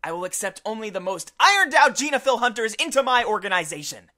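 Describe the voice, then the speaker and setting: A woman's voice: fairly high pitch, very animated, fast talker, bright, forceful tone, no room sound, studio quality. A woman voicing a pompous, demanding male leader of an exterminator squad, with formal, theatrical speech in a visual novel. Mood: stern, grand.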